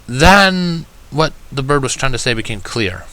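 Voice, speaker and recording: A man reading a first-person story aloud with an expressive, wry, self-deprecating delivery. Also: medium pitch, a little slow. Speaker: fan fiction reader.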